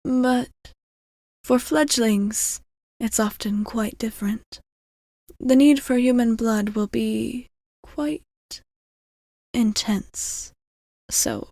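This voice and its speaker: A gentle female vampire voiced by a woman murmurs to a single listener in an intimate ASMR roleplay. Her delivery is very measured and soothing, with soft phrases that often fall into whispers. The sound is close and dry, with little trace of room. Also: fairly high pitch, no echo. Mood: calm, careful. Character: gentle, caring centuries-old female vampire bartender. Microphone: close microphone, very dry.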